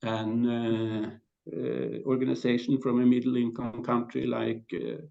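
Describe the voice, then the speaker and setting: A man with a Norwegian accent giving a conference presentation, speaking haltingly with repeated words and frequent throat clearing. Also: medium pitch, flat delivery, warm tone, lo-fi sound. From Norway.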